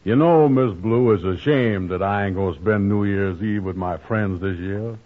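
A muffled old radio recording of a man acting a pompous comedy blowhard. His voice is deep, and he blusters along in slow-witted, ungrammatical boasts in 1940s radio style. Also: natural voice. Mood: smug. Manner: blustering, drawn-out, ungrammatical talk.

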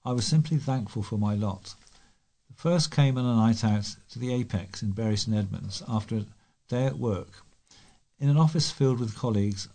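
A man's voice: lowish voice, dark tone. A man reading local newspaper articles aloud as a volunteer news reader, with the occasional stumble.

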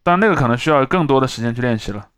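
A man's voice: mid-range voice, animated, rapid-fire, fairly bright tone, clear, resonant tone, dry recording, clean studio sound. A man co-hosting a podcast in casual panel chat, with a wry, conversational way of talking. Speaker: podcast host.

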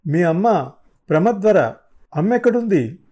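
An anxious older father in an audiobook drama, acted out by a male narrator. His questioning is urgent and insistent, pitched up with feeling, in a soft-edged, mellow tone. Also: sing-song, measured pace, full character voice. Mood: desperate, demanding. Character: shaken older man, anxious, demanding father questioning a girl. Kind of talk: dramatized audiobook novel dialogue.

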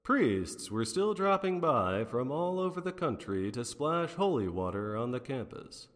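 A man's voice: medium pitch, big pitch swings, measured pace. An actor playing a wry 1940s private detective, narrating his cases in a hard-boiled, theatrical radio drama style. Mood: world-weary. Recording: long reverb, music underneath.

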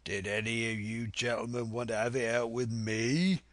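Old pirate sea cook, slow drawl, low pitch, menacing, commanding, storybook villain. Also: male voice, steady tone, sharp tone, no room sound, heavily acted. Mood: scornful challenge. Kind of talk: dramatic audiobook character voice.

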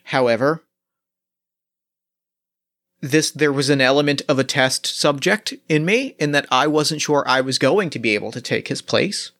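Man voicing a calm male android pilot, calculating, halting delivery, formal phrasing, mid-pitched steady voice. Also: natural voice. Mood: matter-of-fact. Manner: halting, measured, oddly formal.

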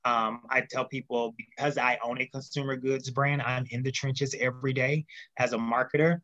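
A man speaking as a podcast guest in a casual interview, telling animated stories. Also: mid-range voice, normal speed, dull audio. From United States. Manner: animated storyteller, acts out quotes.

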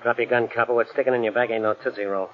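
Actor as fast-talking, menacing radio gangster. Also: male voice, mid-range voice, steady tone, background noise, close to an everyday voice. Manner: tough slangy talk, threatening. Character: menacing, sneering male gangster, sure of himself. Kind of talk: 1940s radio acting. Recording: tinny, narrow old radio recording.

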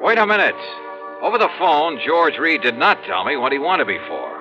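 A man's voice: fairly high pitch, big pitch swings, moderate pace, over music. An American actor playing a brash, wisecracking male insurance investigator in a 1950s radio drama, with a breezy, blunt manner. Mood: wry narration. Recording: muffled, faintly noisy 1950s radio recording.

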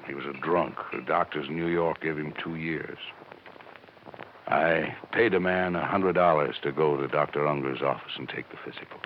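An actor playing a weary, remorseful middle-aged widower, hesitant and subdued, in a 1950s radio drama. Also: male voice, rumbling low, moderate pace. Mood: weary, regretful. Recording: muffled, hissy 1950s radio recording.

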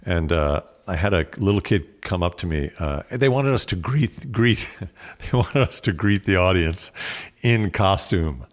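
A man's voice: low voice, animated, measured pace. A man talking as an interview guest, reflective and wry in a conversational way. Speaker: guest, actor and standup comedian.

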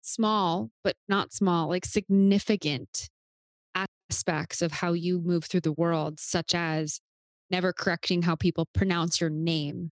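A woman hosting a podcast interview, responding in a warmly affirming, spiritual way. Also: fairly low pitch, fairly even intonation, unhurried, dry recording. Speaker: podcast host.

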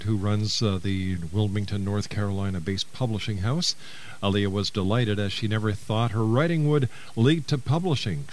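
A man hosting a radio talk show in a chatty, courteous, slightly hesitant conversational way. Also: on the low side. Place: radio studio.

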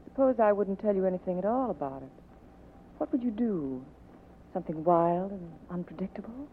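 An actress playing a seductive, evasive femme fatale with a coaxing, dramatic manner in a 1940s radio drama. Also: female voice, mid-range voice, expressive, normal speed, slight background noise, close to an everyday voice. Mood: coy, evasive. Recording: tinny vintage 1940s radio recording.

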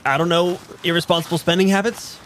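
Audio drama: male actor as sardonic young waiter-hustler, slightly high voice, blunt and sweary, noticeable background noise. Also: fairly even intonation, quick pace, a bit bright. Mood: defensive. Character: cynical, foul-mouthed young diner server hustling customers. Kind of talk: improvised audio drama dialogue.

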